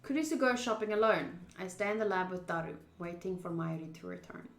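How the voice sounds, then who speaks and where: A woman's voice: lowish voice, lively intonation, fairly quick, faint music behind. A young woman streamer reading a visual novel aloud and chatting informally and expressively with viewers. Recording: roomy echo.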